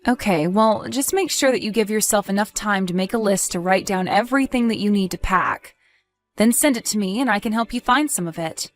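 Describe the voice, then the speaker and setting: Woman acting caring, cautious wife, medium pitch. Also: brisk, soft background music, lightly acted. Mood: caring, practical. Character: caring, cautious wife, practical and gently nagging. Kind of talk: audio drama dialogue.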